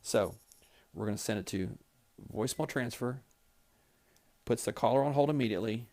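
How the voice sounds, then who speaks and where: A man's voice: medium pitch, normal speed, mellow. A man giving a step-by-step, plain-spoken instructional tutorial as a trainer demonstrating office equipment.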